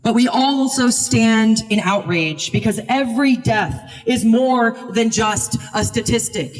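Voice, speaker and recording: A woman activist delivering a prepared protest speech, solemn and impassioned, with emphatic, declamatory phrasing. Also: on the high side. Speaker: activist speaker at a protest encampment. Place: public protest outside media offices. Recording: reverberant, amplified voice from far off.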